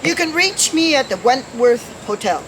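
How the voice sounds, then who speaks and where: A man's voice: very high voice, very animated, normal speed. A male actor playing a worried, formal parole official and father, earnest and polite, in an old-time radio detective drama. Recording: noisy, hissy radio play recording.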